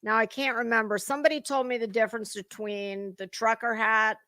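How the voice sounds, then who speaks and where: A woman's voice: a little high, fairly even intonation, fairly quick, sharp tone, no echo. A woman hosting a livestream, chatting casually with viewers in a rambling, informal way. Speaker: livestream host.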